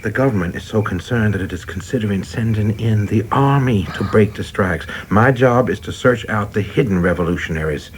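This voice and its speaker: An actor playing an ambitious, zealous young government official in a radio drama, speaking in a formal, intense manner. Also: male voice, fairly low pitch, fairly quick, hardly any pauses, a little hiss or hum, lightly acted. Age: young adult.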